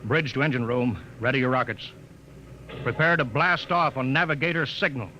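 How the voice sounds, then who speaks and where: An actor playing a steady male spaceship captain in a 1950s radio drama, giving crisp, gruff commands, on an old hissy broadcast. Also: medium pitch, normal speed, loud music behind the voice, natural voice. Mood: commanding. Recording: muffled old radio broadcast, loud hiss.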